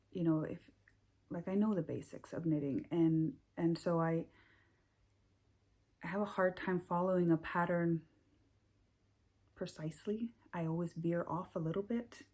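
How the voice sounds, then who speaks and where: Icelandic woman, American English accent, slow and unhurried, mellow husky tone, hesitant casual host. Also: on the low side. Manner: hesitant, many ums and restarts, soft-spoken. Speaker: knitting podcast host. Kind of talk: casual chat to camera.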